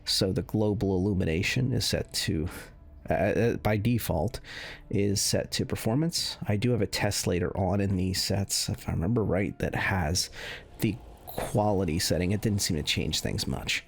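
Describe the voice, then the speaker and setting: A man giving casual, unscripted commentary as a gaming video creator, explaining things in a halting way. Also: deep voice, creaky, slightly rough.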